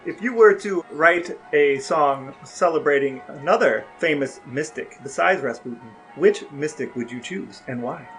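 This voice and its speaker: Male podcast co-host, lightly raised pitch, deadpan mock-serious phrasing, soft music underneath. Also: moderate pace, hardly any pauses, rough recording. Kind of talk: conversational podcast talk and interviewing.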